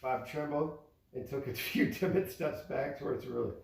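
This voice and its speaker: A man's voice: mid-range voice, moderate pace, creaky, a little mellow. A man narrating a storybook aloud as a father reading to his child. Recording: echoey room, distant from mic.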